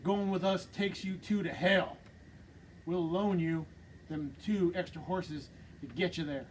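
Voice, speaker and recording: A man's voice: high voice, fairly even intonation, unhurried, a little hiss or hum. A man reading a smirking, menacing male outlaw gang leader in a script table reading, with drawling, bossy threats.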